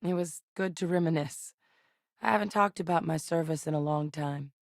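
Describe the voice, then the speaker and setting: An actress playing a seasoned, serious military scientist in a sci-fi video game, speaking in a measured, earnest and authoritative way. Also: female voice, low voice, no room sound, clean studio sound. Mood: subdued, reflective. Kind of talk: scripted game character dialogue.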